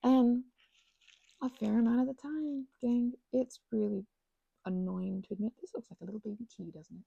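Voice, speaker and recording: Mellow, husky woman rambling casually. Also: on the high side, steady tone, normal speed, no room sound, highs cut off. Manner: meandering, trails off into asides. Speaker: ASMR and audio channel creator. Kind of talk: rambling casual chat to listeners.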